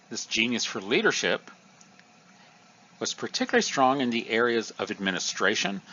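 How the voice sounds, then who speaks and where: A man teaching a recorded history review lesson, explaining in a lively, emphatic way. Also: mid-range voice, highs cut off.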